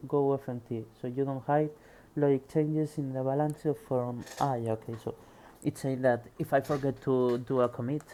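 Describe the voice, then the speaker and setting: A man with a Spanish accent reads technical text aloud in a very dark, mellow voice. Between passages he mutters quietly to himself while testing the code. A faint hum sits under the recording. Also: mid-range voice, flat delivery, moderate pace, hardly any pauses, rough recording. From Spain. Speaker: programmer reading a book aloud. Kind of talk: casual screen-recorded reading and coding.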